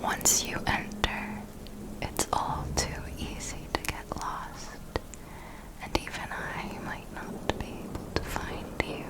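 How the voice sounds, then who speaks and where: A woman playing an elegant, controlling vampire mentor in an ASMR roleplay, speaking in a breathy, softly commanding whisper with formal, measured phrasing. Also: natural voice, rough recording. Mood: hushed, cautionary.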